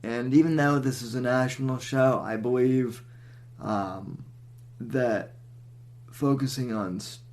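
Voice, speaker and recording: A man hosting a podcast, introducing and interviewing a guest, with frequent fillers and pauses. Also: mid-range voice, a little mellow. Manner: halting, with many fillers. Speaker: podcast host.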